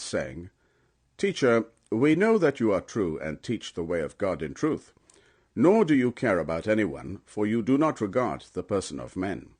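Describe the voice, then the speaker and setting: A man with a fairly low voice reads scripture as an audiobook narrator. His delivery is solemn and emphatic, like formal storytelling. The intonation moves widely, giving the reading a dramatic, animated shape.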